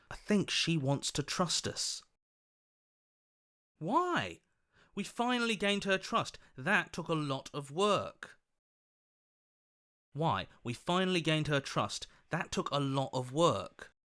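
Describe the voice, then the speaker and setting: A young game hero, earnest n hopeful, gets indignant, voice goes up and down a lot. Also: male voice, fairly high pitch, big pitch swings, takes it slowly, pauses often, a bit bright, exaggerated voice. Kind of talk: video game voice acting.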